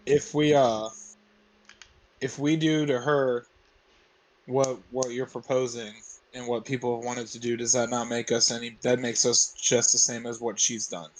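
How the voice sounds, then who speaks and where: A man playing an earnest, protective teenage boy in a tabletop game, reasoning insistently and haltingly. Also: medium pitch, level tone, pitched below the performer's own voice, natural voice, poor audio.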